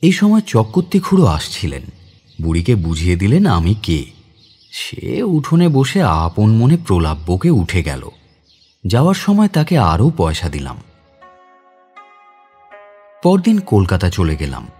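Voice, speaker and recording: Gentle reflective narrator, dramatised story, dark soft timbre, animated pitch, music behind. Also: male voice, a little slow, long pauses, slightly rough, full character voice. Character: kind, reflective man visiting his home village. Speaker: narrator and lead character.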